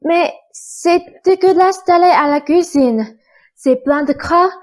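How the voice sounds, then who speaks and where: Woman as bratty stepsister, very high voice, drawn-out whining, squeamish, radio drama. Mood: disgusted, whining. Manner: whining, protesting. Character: spoiled, whiny, squeamish young stepsister.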